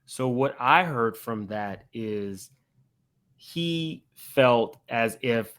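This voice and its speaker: A man delivers reaction commentary on celebrity gossip at a mid-range pitch. He lays out each point deliberately and in a measured manner, like someone summing up a case. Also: lively intonation, a bit bright.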